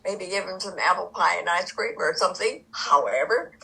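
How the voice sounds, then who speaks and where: A woman's voice: lowish voice, expressive, moderate pace, vocal fry, pushed and bright, husky, rough recording. A woman in the audience chatting on a video call in a friendly, talkative way. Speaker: audience member. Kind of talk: casual chat on a video call.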